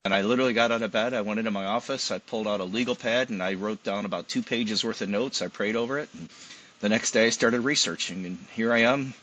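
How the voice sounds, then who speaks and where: A man's voice: lowish voice, fast talker, fairly bright tone, poor audio. A man speaking as an interview guest, recounting his own experience in a conversational way. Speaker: podcast guest, author. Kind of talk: conversational personal storytelling.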